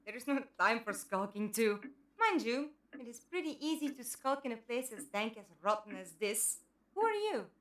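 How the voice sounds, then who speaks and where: Impatient, inquisitive challenger , female actor, audio drama. Brisk, fairly high, animated. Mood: brusque, questioning.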